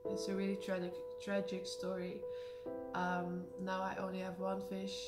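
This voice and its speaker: High-voiced Dutch woman in an echoey room. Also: completely flat delivery, moderate pace, loud music behind the voice. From Netherlands. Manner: chatty, laughs often, sarcastic asides. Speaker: vlogger. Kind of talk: casual Q&A to camera.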